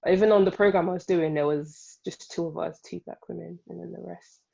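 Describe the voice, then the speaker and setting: A woman's voice: lowish voice, fairly even intonation, brisk, creaky, dark tone, husky, dull audio. A woman in her early twenties, a UI developer guest, chatting casually on a podcast and laughing often. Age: 20s.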